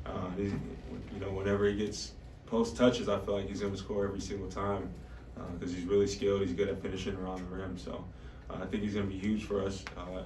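Give a young man in his twenties with a lowish, very even voice. He answers like an athlete at a press conference, halting as he goes. The room is echoey. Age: 20s. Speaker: college basketball player. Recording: echoey room, distant mic, faint noise.

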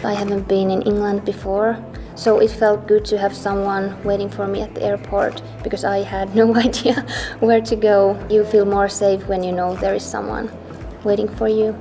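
A woman's voice: mid-range voice, little pitch movement, normal speed, warm tone, soft background music. A woman from Finland, an international student, talking reflectively about arriving in a new country. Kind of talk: casual testimonial.

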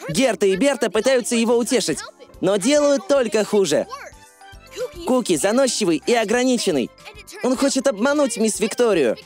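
A woman's voice: on the high side, big pitch swings, normal speed, darkish tone, faint music behind. A woman voicing a confident, bossy young boy in a cartoon dub, speaking emphatically. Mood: dramatic.

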